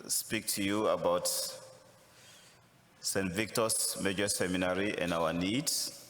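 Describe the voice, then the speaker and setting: A man with a Ghanaian accent, a visiting priest giving a missionary appeal homily, speaking slowly and deliberately with touches of humor. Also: deep voice, steady tone, a bit bright, slight background noise. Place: large church. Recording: echoey church from a distance.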